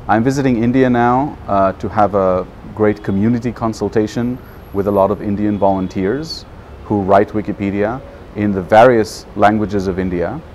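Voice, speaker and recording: Guy who grew up speaking hebrew, lowish voice, thoughtful talk outside, some background noise. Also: moderate pace, darkish tone. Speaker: non-profit program manager. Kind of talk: informal talk to camera.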